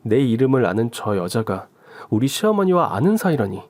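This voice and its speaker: Man narrating a story, animated. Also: medium pitch, moderate pace, dry recording. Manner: first-person storytelling as the wife. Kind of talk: scripted radio story narration.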